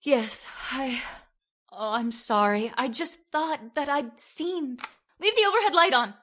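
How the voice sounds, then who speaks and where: A woman's voice: high-pitched, expressive, a little slow, bright, forceful tone, full character voice. A woman playing an anxious, frightened young woman in old-time radio horror, hesitant and dramatic.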